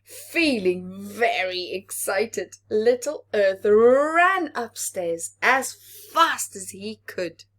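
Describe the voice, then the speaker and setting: A woman's voice: mid-range voice, lively intonation, extremely slow, few pauses, fairly bright tone. A woman reading a picture book aloud to young children, with dramatic delivery and asides to the listeners. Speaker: children's story reader. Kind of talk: expressive read-aloud picture-book narration with whispered asides.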